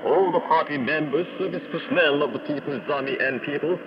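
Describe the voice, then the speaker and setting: A man's voice: fairly high pitch, brisk. Solemn male announcer on noisy shortwave. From North Korea. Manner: solemn, declamatory. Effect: shortwave radio. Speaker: radio news announcer. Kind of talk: formal scripted state radio announcement. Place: broadcast received over shortwave. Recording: noisy, muffled shortwave radio broadcast. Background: loud static and radio noise.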